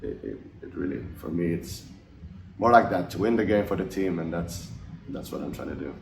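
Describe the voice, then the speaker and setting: A young man from Finland talks in a deep, gravelly voice, answering interview questions with a relaxed, halting manner. The mic sits some way off in an echoey space with clear background noise. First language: English and Finnish. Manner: relaxed, hesitant, many fillers and restarts. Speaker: ice hockey forward, interviewee. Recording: roomy, distant mic, noisy.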